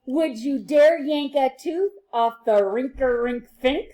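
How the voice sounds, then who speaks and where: A woman teacher reading a picture book aloud to children, playful and sing-song, with quieter chatty asides. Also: high voice, slow pace.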